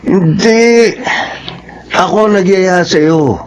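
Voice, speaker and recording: A high-pitched young man, spoiled and boastful. He speaks with a whiny, self-important manner, in the heightened style of a Filipino radio melodrama. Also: drawn-out words. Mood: bitter, resentful. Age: young adult. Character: vain, boastful rich young man, spurned suitor.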